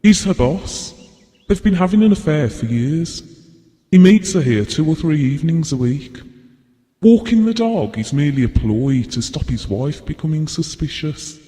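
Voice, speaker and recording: A man playing a gentle but frank, cynical stranger who watches people, in an audio drama. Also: on the high side, very animated, normal speed. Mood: matter-of-fact, cynical. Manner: apologetic yet blunt. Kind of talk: naturalistic audio drama acting. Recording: long reverb on the voice.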